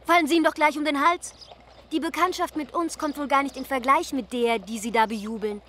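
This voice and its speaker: A woman playing a sharp-tongued, teasing upper-class young daughter in a radio play. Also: sits high, steady tone, brisk, slight background noise. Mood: mocking.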